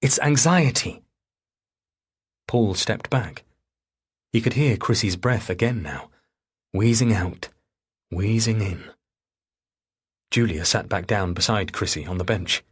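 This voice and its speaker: A man's voice: on the low side, unhurried, pauses often, husky. A man reading dramatic fiction as a scripted audiobook narration.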